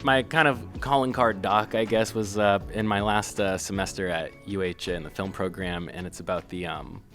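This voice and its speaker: A man from Massachusetts, a filmmaker guest, talking casually with many pauses, fillers and restarts. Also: lowish voice, steady tone, a bit bright, faint music behind. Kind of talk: casual interview.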